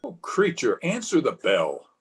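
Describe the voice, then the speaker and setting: A man's voice: mid-range voice, wildly swooping pitch, unhurried, noisy background. A man playing an exasperated but fond husband in old-time radio drama, with a testy, theatrical delivery. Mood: irritable. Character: exasperated, fond husband of nine years, sparring with the maid. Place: at home, recorded over video call.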